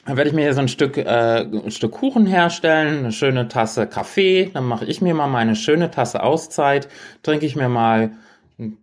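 A man's voice: mid-range voice, lively intonation, normal speed. A man co-hosting a casual podcast, chatting in a teasing, playfully blunt way. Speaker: podcast co-host. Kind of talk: casual banter chat.

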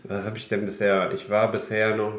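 A man's voice: lowish voice, little pitch movement, fairly quick, reverberant. A man with a cold chatting casually as co-host of a podcast, joking and trailing off mid-sentence. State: has a cold. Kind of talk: casual chat.

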